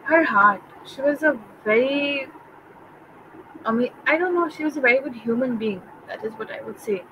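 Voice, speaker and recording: Young indian woman chatting away, bit high voice, lots of fillers, noisy traffic behind. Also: moderate pace, lo-fi sound. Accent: Indian English. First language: Bengali. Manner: chatty, expressive, many fillers. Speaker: interviewee. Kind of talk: casual conversation. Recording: noisy, with vehicle sounds behind.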